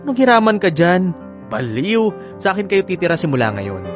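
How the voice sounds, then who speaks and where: Flamboyant, animated doting dad. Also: male voice, high voice, a little fast, faint music behind. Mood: playful, assured. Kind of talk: Filipino radio drama acting.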